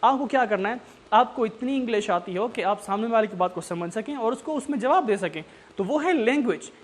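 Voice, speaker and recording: High-voiced man teaching, coaxing. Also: lively intonation, brisk. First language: Saraiki. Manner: repetitive, coaxing, often checks understanding. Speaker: English tutor. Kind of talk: informal instructional talk.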